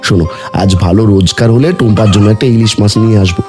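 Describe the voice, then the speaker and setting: A man's voice: fairly low pitch, very fast, over music, lightly acted. A man voicing a kindly, easygoing village father in a cartoon story, homely and reassuring.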